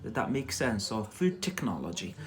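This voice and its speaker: A man's voice: lowish voice, sing-song, normal speed. A man with a Scottish accent teaching English on a live stream, chatty and loose, tagging sentences with "yeah?" and repeating words for practice.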